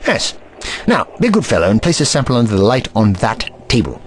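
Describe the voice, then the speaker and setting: A man's voice: mid-range voice, wildly swooping pitch, a little hiss or hum. A man playing a pompous eccentric scientist. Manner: pompous, lecturing, brisk. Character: haughty eccentric male time-traveling scientist, protective. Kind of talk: audio drama acting.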